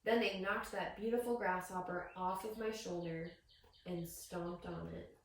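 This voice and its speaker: A woman reading a picture book aloud to young children as a teacher, with expressive storytelling and questions to her listeners. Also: fairly low pitch, a little slow, a little hiss or hum. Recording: very echoey room, distant microphone.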